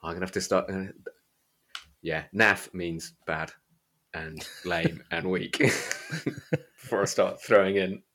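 A man with an East Midlands English accent and a very low voice hosts a book podcast. He talks in an informal, colloquial way, as in a casual chat. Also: slow pace, pauses often, sharp tone. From Derbyshire, England. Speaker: podcast host.

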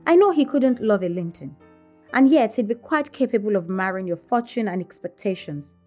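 A woman's voice: mid-range voice, lively intonation, normal speed, dark tone, faint music behind, natural voice. Audiobook role: haughty young wife, domineering and scornful, emphatic delivery, performed by a female narrator. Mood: grimly warning. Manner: emphatic, imperious. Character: proud, scornful young married gentlewoman, vehement and domineering.

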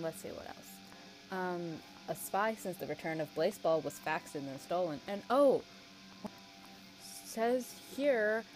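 A woman's voice: medium pitch, close to an everyday voice, rough recording. A woman playing a chatty sports radio announcer who thinks aloud in a conversational, scripted show with hesitant asides. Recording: music behind the voice.

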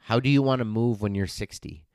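Deep-voiced man in his forties, earnest coach. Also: brisk, a little mellow, dry recording, studio quality. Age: 40s. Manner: earnest, motivational, with frequent fillers and restarts. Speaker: podcast host and fitness coach. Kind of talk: casual solo podcast talk.

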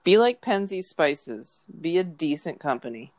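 Podcast co-host, woman, congested, low voice, dry jokes. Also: very animated, unhurried. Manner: joking, wry asides. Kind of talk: casual chat.

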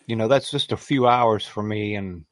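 A man's voice: lowish voice, moderate pace, a bit bright, dry recording. Folksy man. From Southern Ohio. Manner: folksy, joking storyteller. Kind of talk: casual chat.

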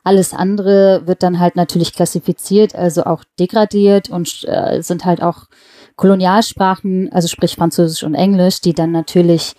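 A woman's voice: lowish voice, level tone, normal speed, warm tone, no room sound. A woman hosting a casual conversation, agreeing readily and asking her guest questions. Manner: engaged, agreeing, asks questions.

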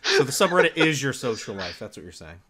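A man's voice: medium pitch, sing-song, quick pace, over a noisy crowd. A man hosting a casual gaming podcast, upbeat and enthusiastic, a dad in his thirties. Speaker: podcast host.

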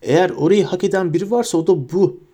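A man's voice: sits high, quick pace, warm tone, voice pushed up. A man voicing an earnest, breathless teenage boy wizard who speaks urgently. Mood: firm.

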